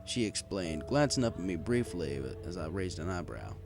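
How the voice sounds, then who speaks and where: A man's voice: deep voice, sing-song, moderate pace, vocal fry, over music. A man reading a first-person story aloud in a wry, conversational way. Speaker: story reader. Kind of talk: first-person scripted fan fiction narration.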